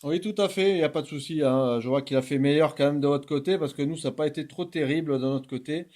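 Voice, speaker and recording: A man works as an amateur radio operator. His voice sits a little high but has a mellow, rounded tone. He talks courteously, moving between chat and set radio procedure phrases. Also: fast. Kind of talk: radio contact chat with procedural call-outs. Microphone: radio's original microphone.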